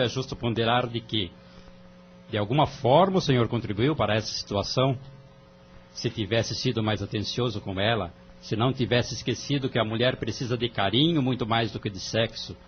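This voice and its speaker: A Brazilian man playing a calm, wise family-court judge in an audio drama, speaking in a formal, measured, moralizing way. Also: medium pitch, a little hiss or hum, natural voice. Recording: dull lo-fi audio, music underneath.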